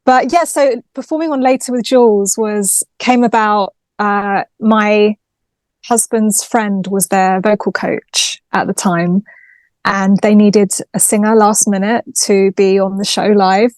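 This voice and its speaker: A woman's voice: medium pitch, fairly even intonation, takes it slowly, a little mellow, no echo. A chatty, articulate woman from London, raised bilingual in Greek, talking about her career as a singer-songwriter in a casual interview over a video call.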